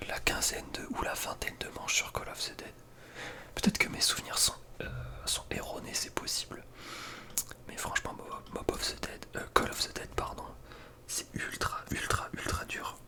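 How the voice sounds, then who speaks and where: A young man speaks in a soft breathy whisper, giving casual, slangy ASMR gaming commentary with a hesitant delivery. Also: normal speed. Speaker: ASMR video creator.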